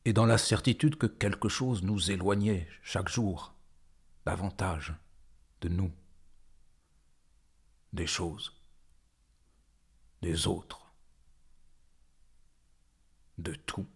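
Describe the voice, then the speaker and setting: Husky low-voiced man reciting poetry slowly. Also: fairly even intonation. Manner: deliberate phrasing with frequent pauses. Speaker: poetry performer.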